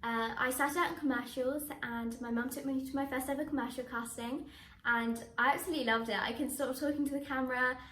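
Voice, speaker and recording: A teenage girl talks about her acting work, bubbly and eager. Her voice sits high. The room around her adds a noticeable echo. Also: fairly even intonation, a little fast, a bit bright, a little hiss or hum. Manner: bubbly, enthusiastic, with frequent laughs. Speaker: young actress. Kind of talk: answering interview questions to camera. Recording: echoey room.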